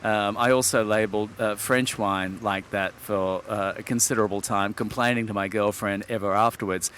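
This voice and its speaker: A middle-aged man from Sydney speaks in a fairly low voice. He is articulate and a little wordy, with hesitant fillers and small laughs. A faint noise sits under the recording. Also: steady tone, normal speed, a bit bright. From Sydney, Australia. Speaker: wine expert guest. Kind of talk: relaxed interview talk.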